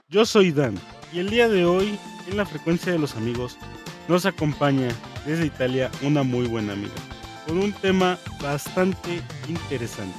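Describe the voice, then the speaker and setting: A man from Mexico hosting a podcast, chatting in a friendly, upbeat way with his guest. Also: a little high. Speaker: podcast host.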